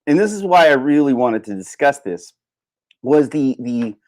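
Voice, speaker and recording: A man hosting a solo livestream in casual chat, rambling and halting as he thinks aloud. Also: mid-range voice, animated, darkish tone. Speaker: livestream host.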